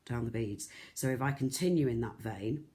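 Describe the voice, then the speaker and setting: A croaky, soft-voiced presenter, chatting through a live beading tutorial with lots of ums and restarts. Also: mid-range voice, moderate pace. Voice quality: soft, not loud. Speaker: craft shop tutor.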